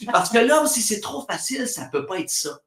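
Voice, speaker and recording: A man's voice: very high voice, very animated, moderate pace, a bit bright, reverberant. A man from Quebec with a Québécois accent, talking casually as a guest on a live stream, laughing often and acting out quoted voices. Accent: Québécois French. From Quebec, Canada. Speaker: spiritual guest.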